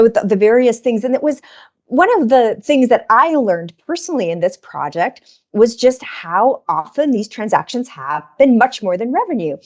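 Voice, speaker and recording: A woman's voice: on the high side, darkish tone. A woman in a conversational business discussion, explaining research informally as a guest speaker and stressing key words.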